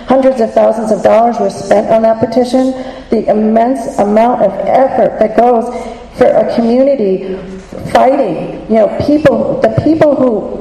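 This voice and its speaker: A woman testifies at a public hearing, impassioned and indignant. Her voice is gravelly with vocal fry and has a dark, mellow tone. The hall is echoey with a long reverb. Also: a little high.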